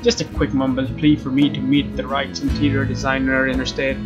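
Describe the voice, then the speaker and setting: A man narrating a visual novel as a let's player, expressive and informal, with casual asides. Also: medium pitch, brisk. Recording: dull lo-fi sound, music behind. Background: game music with guitar.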